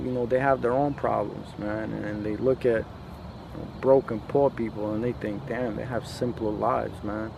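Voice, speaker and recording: A man from a post-Soviet country speaking in a casual, reflective monologue, halting and repeating himself, with lots of slangy filler. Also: on the low side, creaky, background noise. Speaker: podcast host.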